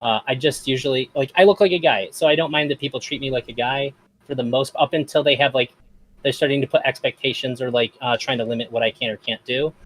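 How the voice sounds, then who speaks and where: A man's voice: medium pitch, fairly even intonation, quick pace, a bit bright, rough recording. Host, a man with a voice that often reads as feminine, talking hesitantly in a middle register. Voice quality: voice that strangers hear as feminine. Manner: hesitant, with many fillers. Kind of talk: casual discussion.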